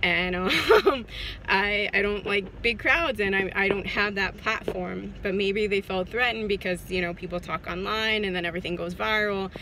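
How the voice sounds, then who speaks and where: A woman's voice: medium pitch, normal speed, pushed and bright, slight background noise, low-quality recording. A woman in her forties talking to her phone camera from a parked car, casual and hesitant with many fillers and restarts. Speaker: vlogger. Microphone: phone at arm's length.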